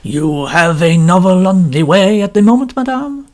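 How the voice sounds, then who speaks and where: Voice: medium pitch, normal speed, pitched above the performer's own voice, lightly acted. A narrator voicing a polite, formal male detective with a French-flavoured accent. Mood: courtly. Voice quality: courtly, formal. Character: Hercule Poirot, polite small Belgian detective.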